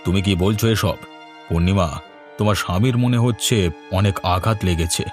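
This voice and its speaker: A man's voice: low-pitched, fairly quick, music in the background, natural voice. A man voicing a mild, inquisitive village man in a cartoon, with a questioning, conversational manner. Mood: concerned. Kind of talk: cartoon voice acting.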